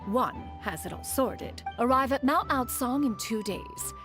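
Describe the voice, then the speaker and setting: Proud aloof immortal lady inventor in an anime game, formal old-fashioned phrasing, lively pitch, some music behind. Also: measured pace. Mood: aloof, brisk. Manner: formal, archaic, refers to herself as one. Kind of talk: anime video game voice acting.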